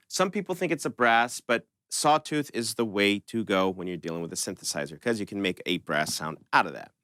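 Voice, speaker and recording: Bright, clear-voiced man teaching casually. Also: on the low side, no room sound, studio quality. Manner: informal, conversational instructor. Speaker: host of a synth tutorial channel. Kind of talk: casual step-by-step music tutorial.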